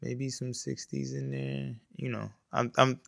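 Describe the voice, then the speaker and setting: A man in his forties rambles easygoingly through his own podcast, circling back on his words. His voice is gravelly yet smooth and even in pitch, with a slow, unhurried delivery. The sound is dry and close. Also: no room sound. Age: 40s. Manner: rambling, easygoing, many fillers and restarts. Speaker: podcast host, music producer. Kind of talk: casual solo podcast talk.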